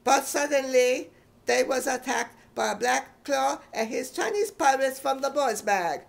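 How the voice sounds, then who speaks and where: A man's voice: very high-pitched, a little slow, creaky, full character voice. A man voicing a naive, boastful schoolboy in a British accent, narrating his own adventures melodramatically in a radio comedy. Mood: eager, melodramatic. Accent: imitated British. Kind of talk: 1950s radio comedy acting.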